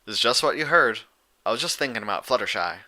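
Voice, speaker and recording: A man voicing a cautious, plain-spoken country mare in a folksy, low-key manner, in a storybook reading. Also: expressive, fast, pushed and bright. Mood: uneasy.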